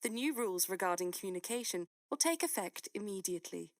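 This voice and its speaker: A woman's voice: mid-range voice, expressive, fast, dry recording, close to an everyday voice. Actress as a formal, dry-sounding female newsreader. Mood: detached, official. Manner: formal, detached. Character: composed, impersonal female government news announcer. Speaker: news announcer. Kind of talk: scripted official news bulletin.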